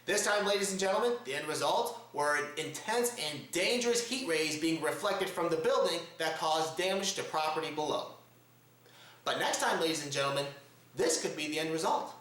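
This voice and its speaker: A man's voice: fairly high pitch, lively intonation, normal speed, a bit bright. Theatrical man hosting in a very echoey room. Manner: theatrical, mock-dramatic, addresses audience formally. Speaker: host of a satirical news report. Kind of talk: mock news commentary.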